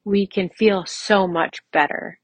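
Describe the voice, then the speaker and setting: A woman's voice: medium pitch, fairly even intonation, slow, no echo. A woman in her mid-forties hosting a solo podcast, talking in a personal, conversational way and repeating phrases for emphasis. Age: mid-40s. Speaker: podcast host.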